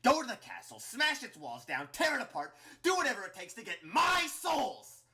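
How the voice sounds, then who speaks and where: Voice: medium pitch, big pitch swings, slow, noisy background, pitched above the performer's own voice. A narrator voicing a scheming, bossy and angry male flower creature in a dramatic story, spitting out the words. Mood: bossy anger. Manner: roaring, spitting, disingenuous.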